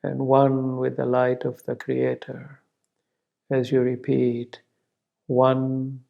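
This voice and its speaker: A man leading a spiritual guided meditation in a gentle, reverent way, pausing often, in a dull, muffled recording. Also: medium pitch, monotone, vocal fry. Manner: gentle, reverent, measured with long pauses and repeated words.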